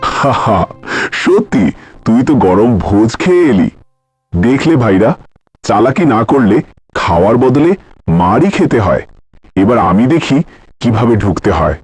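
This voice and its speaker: Man voicing a husky, cocky cartoon monkey. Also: mid-range voice, sing-song, a little slow, pauses often, darkish tone, dry recording. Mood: mocking. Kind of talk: animated fairy-tale voice acting.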